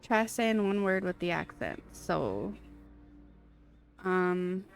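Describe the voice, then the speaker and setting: A woman's voice: fairly low pitch, big pitch swings, takes it slowly, creaky, faint music behind. Rambling woman streamer chatting casually. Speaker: live game streamer. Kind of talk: casual chat with stream viewers.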